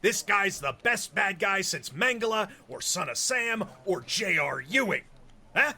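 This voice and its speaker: A man playing a brash, greedy, bossy newspaper boss with a raspy voice in a radio-play reading. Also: high-pitched, lively intonation, measured pace, sharp tone, heavily acted. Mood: irritated, scornful. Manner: brash, barking, demanding.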